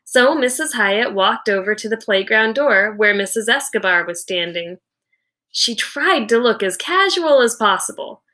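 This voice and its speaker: A woman teacher giving an expressive, deliberate read-aloud of a children's novel with dramatic storytelling. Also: medium pitch.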